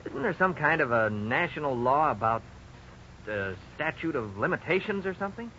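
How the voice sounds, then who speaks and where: An actor playing a worried young husband, frank and resigned, in a 1950s radio drama. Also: male voice, fairly high pitch, big pitch swings, normal speed, sharp tone. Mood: puzzled. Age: young adult. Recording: dull, hissy 1950s radio recording.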